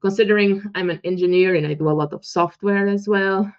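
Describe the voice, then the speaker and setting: A woman in her thirties from Portugal, speaking with a Portuguese accent as a guest researcher in a casual interview, animated and quick to act out quoted dialogue with laughs. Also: medium pitch. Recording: muffled lo-fi audio.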